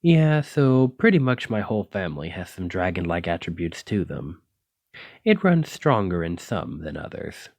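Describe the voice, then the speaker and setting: Dragon-blooded male librarian played by a man for ASMR romance: low voice, intimate, teasing, smitten and possessive, very dry close sound. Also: big pitch swings, moderate pace, darkish tone, no room sound. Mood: amused, explaining. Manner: intimate, teasing, uses pet names.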